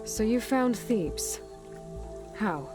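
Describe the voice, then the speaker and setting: An actress playing a self-assured young huntress heroine in a video game, direct and dry, in a naturalistic matter-of-fact delivery. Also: female voice, fairly high pitch, animated, drawn-out words, over music. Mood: probing, direct.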